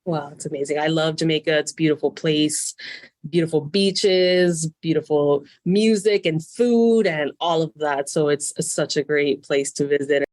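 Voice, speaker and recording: A woman's voice: sits low, steady tone, normal speed, darkish tone, no echo. A woman from Miami whose first language was Spanish, hosting a podcast interview in an upbeat, enthusiastic way. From Miami, USA. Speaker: guest podcast host.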